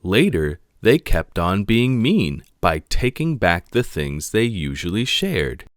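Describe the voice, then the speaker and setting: Deep-voiced man reading a storybook, animated. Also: slow, no room sound, studio quality. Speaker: storybook reader. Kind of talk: expressive children's read-aloud narration.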